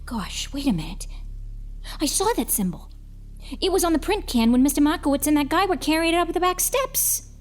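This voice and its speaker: Animated, high-pitched young cinema usherette. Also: female voice, brisk. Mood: puzzled, excited. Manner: gushing, gossipy, wholesome old-fashioned slang. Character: eager, chatty, star-struck young 1940s cinema usherette. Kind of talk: film noir audio drama acting.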